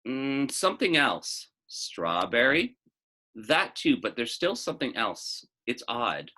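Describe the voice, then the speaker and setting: A man's voice: medium pitch, big pitch swings, slow, sharp tone, exaggerated voice. Man reading as a reserved, melancholy preacher father. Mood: pensive.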